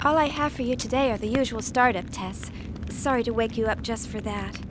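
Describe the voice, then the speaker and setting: An actress playing a gentle, caring young female chief engineer in a video game, speaking conversationally. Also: on the high side, fairly quick, background noise, close to an everyday voice. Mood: friendly, businesslike.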